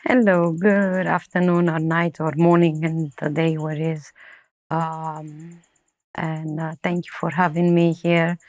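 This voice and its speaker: Romanian woman telling her story haltingly. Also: sits low, little pitch movement, a little mellow, lo-fi sound. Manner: halting non-native English, many restarts. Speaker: interview guest. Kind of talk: personal testimony interview.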